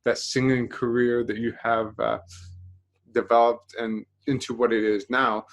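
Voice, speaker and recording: A man's voice: mid-range voice, little pitch movement, a little slow. A man hosting an interview, asking long, winding questions. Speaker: interview host.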